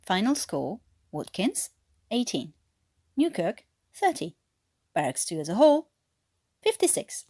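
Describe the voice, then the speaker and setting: Female story narrator, native French speaker attempting English accent, expressive, lively pitch movement. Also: unhurried, pauses often. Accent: French-tinged English. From France. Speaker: fan fiction author reading aloud.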